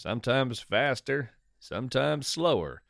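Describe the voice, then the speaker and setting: Male storyteller host, slow and expressive, folksy and whimsical, conversational musing on a close mic. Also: mid-range voice, vocal fry, no room sound. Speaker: podcast host and narrator. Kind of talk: in-character whimsical fiction monologue, conversational storytelling.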